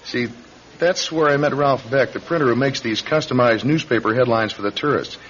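An American man acting as a calm, persistent police detective, deliberate and explanatory, in a radio crime drama. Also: mid-range voice, lightly acted. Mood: methodical. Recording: dull recording with faint noise.

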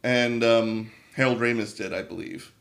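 A man's voice: mid-range voice, moderate pace, vocal fry, a bit bright. Man casually explaining things on a podcast. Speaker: podcast co-host. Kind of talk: casual chat about video games and movies.

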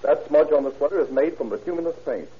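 An actor playing a careful police lab chemist who explains deliberately with hesitant murmurs in an old-time radio drama. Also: male voice, a little high, slightly rough. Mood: careful, explanatory. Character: careful, unhurried male police laboratory chemist. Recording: dull, noisy vintage radio recording.

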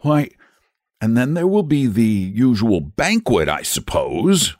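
A man's voice: mid-range voice, sing-song, takes it slowly, darkish tone, dry recording, clean studio sound, voice pushed up. A man voicing an earnest, patient, confident storybook knight who reasons in an eager, persuasive way. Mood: explaining. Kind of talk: storybook character voice.

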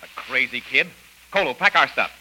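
An actor playing a brisk, take-charge adventurer hero in a 1930s style radio serial. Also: male voice, medium pitch, animated, sharp tone, rough recording. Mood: brisk, decisive. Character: Jungle Jim, brisk commanding adventurer hero, man. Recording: old radio recording with faint noise.

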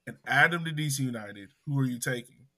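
A man's voice: mid-range voice, a little fast, pushed and bright. A man co-hosting a casual sports chat, putting questions to his guest. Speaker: podcast co-host.

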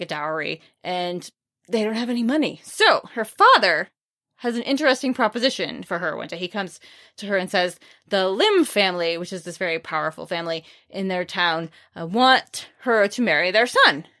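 A woman's voice: mid-range voice, animated, normal speed, pushed and bright. A woman hosting a podcast, chatting casually and informally through book recommendations with wry, understated asides. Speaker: podcast host reviewing books.

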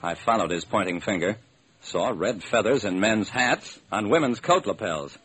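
Wry American radio detective with lively delivery. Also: male voice, lowish voice, normal speed, husky. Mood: wry, intent. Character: male private detective narrating his own case, wry and hardboiled. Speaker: narrator and lead actor. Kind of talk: old-time radio detective drama narration. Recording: noisy old radio recording.

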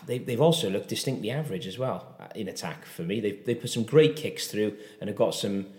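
Man, low voice, quick chatty rugby banter, tag questions, roomy echo. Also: a little mellow. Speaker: podcast co-host. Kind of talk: casual sports chat.

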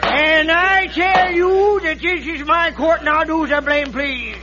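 A cantankerous old frontier judge blusters in a folksy Western American drawl. His voice is pitched remarkably high. It is heard on a noisy vintage radio drama recording. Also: measured pace, dull audio. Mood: blustering. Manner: crotchety, folksy, bullying. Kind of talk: 1940s-50s radio western acting.

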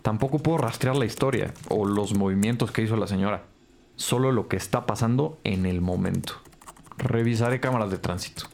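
A male police investigator in a crime audio drama, gravelly and matter-of-fact. He sounds businesslike, with clear noise around the voice. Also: on the low side, fairly quick, darkish tone. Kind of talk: scripted audio drama acting.

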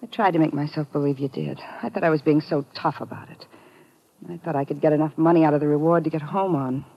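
Breathy woman, hesitant, conflicted, intimate radio drama acting, muffled vintage recording. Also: deep voice, steady tone, fast talker. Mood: mixed up, tender.

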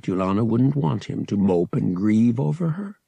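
A man's voice: lowish voice, expressive, moderate pace, vocal fry, warm tone, slightly rough, no echo. A man narrating a story as a dramatic, scripted audiobook reading.

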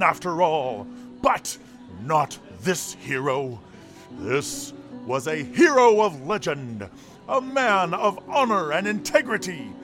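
Showman bard telling hero tales in a fantasy audio drama, slow and dramatic, music behind. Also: fairly high pitch, a bit bright, full character voice. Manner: grandiose, suspenseful, with drawn-out pauses. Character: theatrical tavern storyteller, a showman spinning heroic tales. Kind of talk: dramatic fantasy storytelling to a crowd.

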